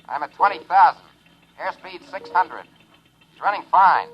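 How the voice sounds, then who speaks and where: A man's voice: high voice, expressive, normal speed, breathy edge, highs cut off, exaggerated voice. An American man playing a cocky, daring test pilot in a 1950s radio drama, giving breezy, clipped reports. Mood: businesslike, confident. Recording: old 1950s radio broadcast recording.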